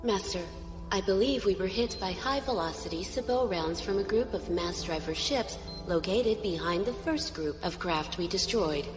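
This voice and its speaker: A woman's voice: mid-range voice, expressive, natural voice. A woman playing an obedient, composed ship's aide who reports to her master formally in a space opera audio drama. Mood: cautious, deferential. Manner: formal, measured, deferential. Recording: echoing hall-like reverb, music underneath.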